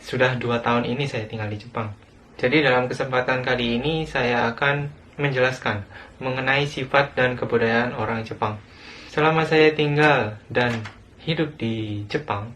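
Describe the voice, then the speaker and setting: Indonesian guy, young, earnest, halting at times, mid voice, faint noise behind. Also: mid-range voice, a bit bright. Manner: earnest, plain, with hesitations. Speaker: guest speaker living abroad. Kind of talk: recorded video talk.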